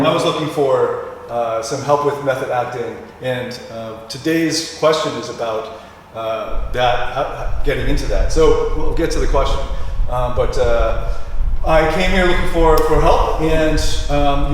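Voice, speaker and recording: A man hosting a conversational interview, speaking haltingly as he searches for his words. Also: medium pitch. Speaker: show host and life coach. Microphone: distant from the speaker. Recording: very echoey hall, distant mic, faint noise.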